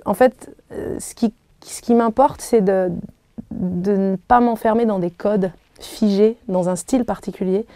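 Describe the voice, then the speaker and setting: A woman in her thirties whose first language is Arabic, answering interview questions thoughtfully, with many pauses and restarts. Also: medium pitch, dark tone, no room sound. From Algeria. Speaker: singer being interviewed. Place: hotel room.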